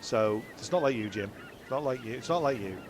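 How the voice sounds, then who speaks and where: A man's voice: medium pitch, expressive, fairly quick, a little hiss or hum, natural voice. A man voicing a flustered male castaway comedian who rants and swears when annoyed. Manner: ranting, sweary.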